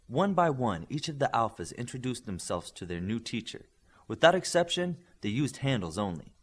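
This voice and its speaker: A man narrating scripted fiction as an audiobook reader, with expressive delivery that lifts into dialogue. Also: mid-range voice, moderate pace, husky.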